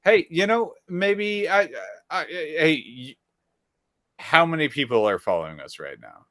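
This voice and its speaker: A man's voice: fairly high pitch, expressive, measured pace, bright, forceful tone, no echo, studio quality. Laid-back, wry male podcast co-host. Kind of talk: casual chat.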